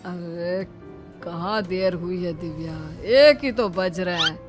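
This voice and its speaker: A woman's voice: on the low side, slow pace, music in the background. A woman voicing a mild, fond father who placates others in a moral story. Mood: placating. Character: mild ailing father of a talkative daughter. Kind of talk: acted moral story dialogue.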